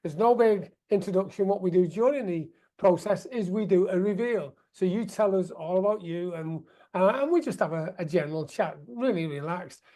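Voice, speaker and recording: A man's voice: high voice, moderate pace, few pauses, mellow. A man hosting a veterans' podcast, enthusiastic and upbeat, with fillers and self-corrections as he talks. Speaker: podcast host.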